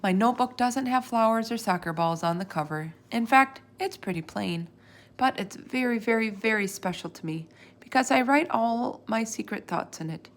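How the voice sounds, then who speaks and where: A woman narrates a children's book aloud. Her delivery is expressive and swings widely in pitch. There is a noticeable creak in her voice as she moves through the story. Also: normal speed. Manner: animated, acts out dialogue. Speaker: storybook reader.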